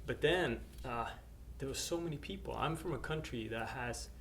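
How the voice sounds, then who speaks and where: A middle-aged man from Norway shares his missionary testimony at an even, mid-range pitch. He talks haltingly, full of filler sounds and restarts. A faint hiss of noise sits under the recording. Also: gravelly. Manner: hesitant, many uhs and restarts. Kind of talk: personal testimony to camera.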